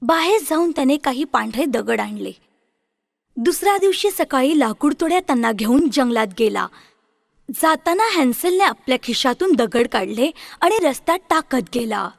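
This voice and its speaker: A woman's voice: high voice, animated, moderate pace, gravelly, no room sound, clean studio sound. A woman reading a scripted fairy tale for children as the storyteller.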